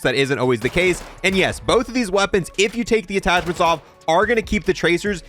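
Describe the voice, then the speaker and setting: Male gaming host, slightly high pitch, very lively intonation, casual commentary, informal and playful.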